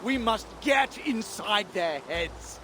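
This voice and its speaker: A man's voice: high voice, animated, slow pace. An actor playing a greedy, domineering leader of false Vikings in a video game, declaiming like a man rallying his troops. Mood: fervent, scornful. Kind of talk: video game cutscene acting. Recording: noisy outdoor game ambience.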